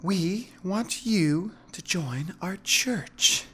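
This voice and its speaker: Man putting on a high-pitched seductive female fanatic. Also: animated, very slow, a bit bright, breathy edge, exaggerated voice.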